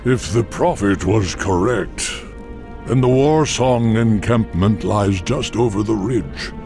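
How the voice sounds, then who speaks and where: Slow, gravelly old bull-man chieftain. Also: over music. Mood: solemn. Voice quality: deep, gravelly. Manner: grave, measured. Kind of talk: video game voice acting.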